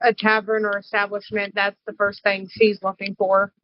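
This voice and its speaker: A woman's voice: medium pitch, monotone, normal speed, no room sound, highs cut off. A woman talking casually as a player in a tabletop game.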